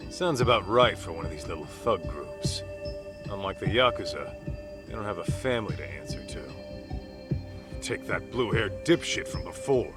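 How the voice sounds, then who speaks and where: An actor playing a gruff, easygoing male street detective in a game cutscene, talking bluntly and slangily. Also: deep voice, animated, moderate pace, music in the background. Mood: casual, brash. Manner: blunt, casual slangy talk. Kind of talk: dramatic game cutscene dubbing.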